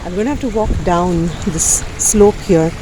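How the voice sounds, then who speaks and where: A woman telling a folktale outdoors in an expressive, repetitive storytelling manner. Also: fairly low pitch, normal speed, background noise. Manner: expressive, repetitive, sound effects. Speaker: storyteller, host. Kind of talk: oral folktale storytelling to camera. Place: outdoors on a beach.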